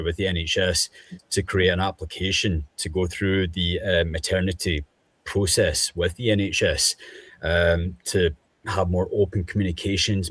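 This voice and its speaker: A Scottish man with a very low, slightly husky voice takes his turn on an online panel, speaking in a measured, thoughtful way with frequent pauses. The sound is close and dry. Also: dry recording. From Scotland. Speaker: panel guest.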